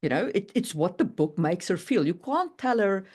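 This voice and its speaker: A woman chatting casually and opinionatedly, in an animated way, as on a writing podcast. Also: fairly low pitch, normal speed, husky. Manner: animated, opinionated, laughs readily. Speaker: podcast guest discussing writing. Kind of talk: casual chat.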